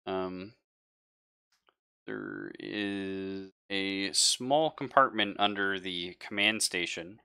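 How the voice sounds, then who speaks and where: A man narrating a live tabletop roleplaying game as game master, in a halting, conversational way. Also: sits low, steady tone, pushed and bright, clear, resonant tone.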